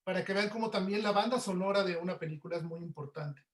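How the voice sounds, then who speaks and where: Male presenter, high voice, conversational and informal, explaining his trade in an echoey room. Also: quick pace. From Mexico. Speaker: audio producer giving a presentation. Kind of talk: informal explanatory webinar talk.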